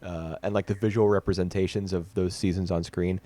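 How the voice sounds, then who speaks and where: A man's voice: low voice, level tone, brisk, warm tone, dry recording. A man co-hosting a podcast, chatting casually and analytically with fillers and restarts. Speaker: podcast co-host. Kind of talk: casual anime discussion.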